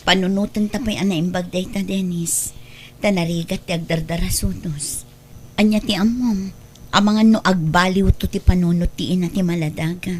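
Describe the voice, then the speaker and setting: A woman's voice: on the low side, steady tone, moderate pace, a little mellow, lightly acted. An actress with an Ilocano Filipino accent playing an anxious, polite but firm married woman in a radio drama. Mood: soft, hesitant. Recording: clear background noise and ambience.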